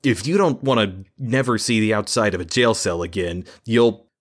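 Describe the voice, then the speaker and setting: A man's voice: lowish voice, flat delivery, brisk, natural voice. A man voicing a scornful young constable. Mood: irritated. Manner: scornful, irritated. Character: scornful, hot-tempered young male constable.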